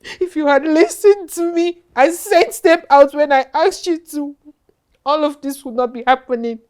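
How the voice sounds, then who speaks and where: A woman with a very high, piercing voice plays a mother undone by grief. She sobs and accuses, repeating herself through tears. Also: normal speed, darkish tone. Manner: sobbing, accusing. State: crying. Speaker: grieving mother. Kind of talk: melodrama acting.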